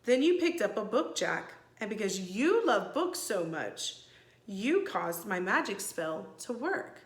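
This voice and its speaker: Woman as a gracious old enchantress, lively intonation. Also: medium pitch, reverberant. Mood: kindly, explaining. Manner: measured, gracious, precise.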